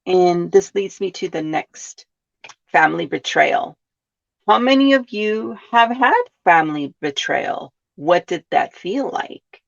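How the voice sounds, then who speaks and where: Slow, gravelly-voiced woman host. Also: lowish voice, lively intonation. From California. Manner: poses rhetorical questions to listeners, often adds "right?". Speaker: podcast host. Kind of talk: reflective self-help talk. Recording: dull lo-fi sound.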